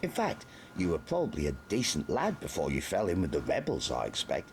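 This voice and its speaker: A man's voice: low voice, wildly swooping pitch, brisk, a little hiss or hum, full character voice. A man voicing a sly, bullying sergeant who threatens in a mock-friendly way in an audiobook. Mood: menacing. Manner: smooth, menacing, mock-friendly. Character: menacing, grinning male sergeant, a sly bully. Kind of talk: audiobook character voice.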